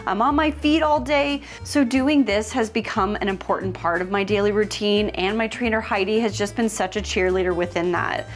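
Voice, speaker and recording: A woman hosting a DIY vlog, talking in an upbeat, expressive, casual and chatty way. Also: medium pitch, normal speed, few pauses, faint music behind. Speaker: host of a DIY home makeover vlog.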